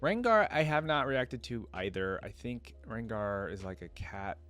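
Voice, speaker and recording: A man's voice: on the low side, animated, a little slow, fairly bright tone, soft background music. A man giving casual, rambling reaction commentary as a video host, often checking in with the listener. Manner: rambling, checks in with "right?" and "you know".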